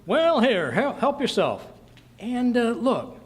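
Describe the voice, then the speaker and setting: A man acting a folksy, boastful, easily flustered middle-aged husband in an old-time radio comedy, stammering and excitable. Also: extremely high pitch, takes it slowly, exaggerated voice. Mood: puzzled, suspicious.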